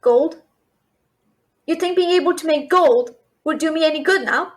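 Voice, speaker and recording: A woman playing a brash, fast-talking young female thief and failed alchemist in a fantasy audio roleplay, chattering with sarcastic, exasperated bluster. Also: high voice, natural voice. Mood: grumbling, wry. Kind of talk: fantasy audio roleplay, addressing an unseen listener.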